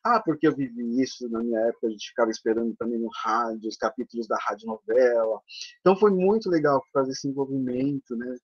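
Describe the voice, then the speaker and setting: A man's voice: medium pitch, fast talker. A man with a Brazilian accent, a theatre teacher for older adults, giving a conference presentation over slides in a chatty way, often tagging on little questions. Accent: Brazilian Portuguese. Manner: chatty, peppered with tag questions. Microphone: video call. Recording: dull lo-fi online call audio.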